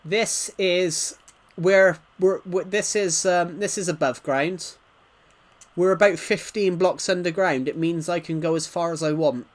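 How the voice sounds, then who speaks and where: A man's voice: sits high, unhurried. A man hosting a casual gaming livestream, talking in a rambling, stuttering way as he answers viewer comments. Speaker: livestream host.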